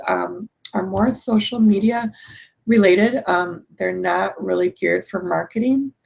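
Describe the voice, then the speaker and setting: Hoarse woman coaching online. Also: mid-range voice, darkish tone, rough recording. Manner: explanatory, many fillers and restarts. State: hoarse from a cold. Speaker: marketing coach. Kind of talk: webinar presentation.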